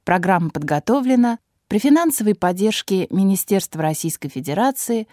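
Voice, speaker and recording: Mellow, formal female announcer. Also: mid-range voice, lively intonation, moderate pace, no echo, studio quality.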